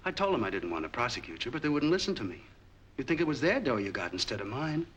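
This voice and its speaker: An actor playing a shrewd, long-hidden street peddler in a 1940s crime film. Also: male voice, mid-range voice, sing-song, fast talker, breathy edge. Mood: wry, matter-of-fact. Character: shrewd, forgiving street peddler with a lame leg, long in hiding. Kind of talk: 1940s film noir acting. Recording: noisy 1940s film soundtrack.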